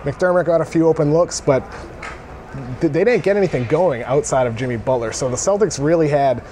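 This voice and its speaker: A man's voice: on the high side, a little fast, dark tone. A man giving casual, analytical basketball commentary as a guest on a panel. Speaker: sports writer, panel guest. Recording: faint urban background noise.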